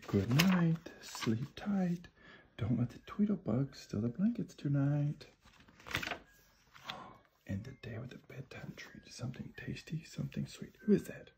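A man reading a picture book to a small child at bedtime in a gentle, often hushed sing-song, recorded on a phone in a room at home. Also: mid-range voice, sing-song, measured pace. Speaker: parent reading to a toddler. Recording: noisy home phone video with clicks.

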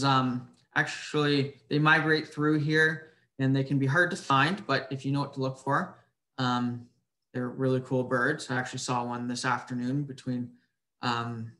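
A man giving an informal slide presentation over a video call, in a somewhat halting, hesitant way. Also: mid-range voice, level tone, pushed and bright. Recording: roomy echo on webinar audio.

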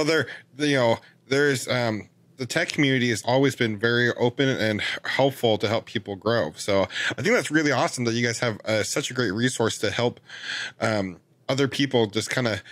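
A man's voice: mid-range voice, no echo. A man in his thirties hosting an interview podcast from a home studio, chatting loosely with lots of "you know" fillers. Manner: many fillers, loose and relaxed. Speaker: podcast host. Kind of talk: casual interview chat. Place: home studio with lights and posters.

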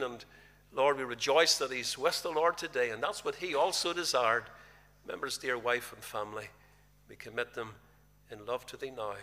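A man's voice: mid-range voice, sharp tone. An older man leads a congregation in earnest prayer and gives service announcements from a pulpit. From Northern Ireland. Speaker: minister leading a church service. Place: large church hall with a congregation. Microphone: lectern mic at a pulpit. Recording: church hall reverb.

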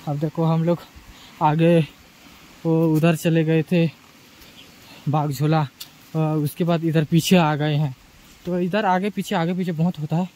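A man's voice: fairly high pitch, flat delivery, unhurried, pauses often, a little mellow, rough recording. Chatty young man vlogging outdoors. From Chhattisgarh. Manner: chatty, addresses viewers as guys. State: tired. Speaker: travel vlogger. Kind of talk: casual vlog narration while riding and hiking. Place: outdoors on rural roads and forest trails.

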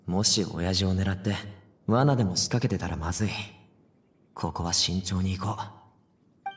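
A man voicing a brave, casual young bodyguard in a video game, brisk, confident and protective. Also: fairly low pitch, slight background noise. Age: young adult.

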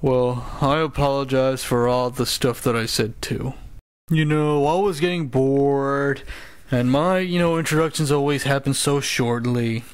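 A man's voice: medium pitch, unhurried, clear, resonant tone, no echo, natural voice. A man voicing a grumbling, broke male cartoon show announcer who whines, sighs and makes sarcastic complaints. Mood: apologetic. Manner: whiny, complaining and sarcastic, with many sighs and groans. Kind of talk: comedic cartoon voice acting.

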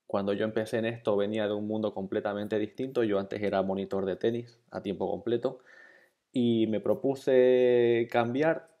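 A man from Bolivia, introducing an online programming course in a relaxed, conversational way. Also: lowish voice, moderate pace, highs cut off. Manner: relaxed, conversational, with fillers and repeated encouragement. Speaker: course instructor. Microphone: voice-over over slides.

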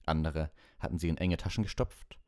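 A man's voice: extremely low pitch, a little fast, a little mellow, no echo. A man reading an audiobook as scripted storybook narration, close to the microphone. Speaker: audiobook reader.

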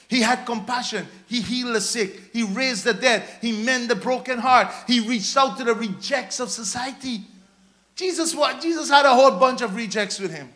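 High-pitched Guyanese man preaching. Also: bright, forceful tone. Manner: emphatic, repetitive exhortation. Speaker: pastor preaching. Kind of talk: sermon. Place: church stage, indoors. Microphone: handheld microphone.

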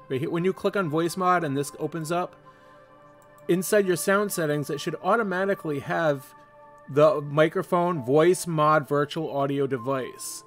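Male tutorial host, lighter higher register, casual instruction over soft music. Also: vocal fry. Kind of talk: casual step-by-step screen tutorial.

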